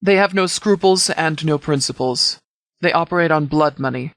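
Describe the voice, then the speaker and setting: A man playing a stern, principled post-apocalyptic sheriff in a video game, speaking in a formal, measured, articulate way. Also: on the high side, a bit bright, dry recording. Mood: stern, resolute. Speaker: voice actor in a game mod. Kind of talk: scripted video game character dialogue.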